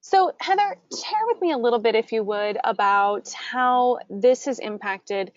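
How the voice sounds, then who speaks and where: A woman's voice: on the high side, expressive, a little slow, dry recording, muffled recording. An American woman hosting a webinar, guiding a demonstration and prompting her guest with encouraging questions. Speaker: webinar host. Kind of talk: interview and guided software demonstration.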